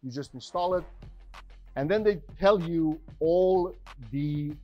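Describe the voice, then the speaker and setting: Energetic man on stage. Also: a little high, takes it slowly, lo-fi sound, faint music behind. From Romania. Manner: energetic, conversational, rising emphasis. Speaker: podcast host and business speaker. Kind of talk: stage presentation to a live audience.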